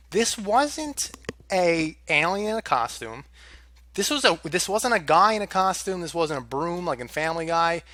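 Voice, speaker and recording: A man's voice: a little high, bright, forceful tone. A young man telling a story to camera in a casual, exasperated rant with plenty of swearing. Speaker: vlogger.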